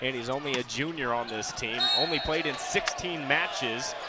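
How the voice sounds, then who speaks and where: A man's voice: medium pitch, moderate pace. An American man calling live volleyball play-by-play, raising his voice on the big plays. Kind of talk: live sports commentary. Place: indoor sports arena during a match. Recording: loud gym noise, bouncing balls. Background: loud arena noise, balls bouncing.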